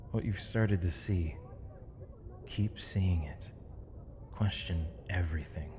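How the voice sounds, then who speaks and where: A man playing a wise, misunderstood mage in an ASMR roleplay, speaking softly in a solemn, measured, poetic and reassuring way. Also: low-pitched, noisy background. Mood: solemn, tender. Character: misunderstood male mage, gentle, wise, mysterious, tenderly reassuring. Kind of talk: ASMR roleplay, scripted dramatic monologue.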